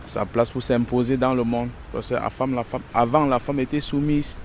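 A man's voice: medium pitch, fairly even intonation, normal speed. Male vox-pop interviewee, medium register, spontaneous, recorded outdoors with light street noise. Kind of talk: spontaneous opinion. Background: street and vehicles.

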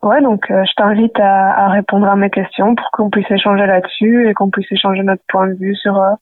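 A woman's voice: medium pitch, flat delivery, a little fast, no room sound, phone-quality sound. A woman in her twenties from Belgium, talking hesitantly with many fillers in a personal voice message. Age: 20s. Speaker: student sending a message.

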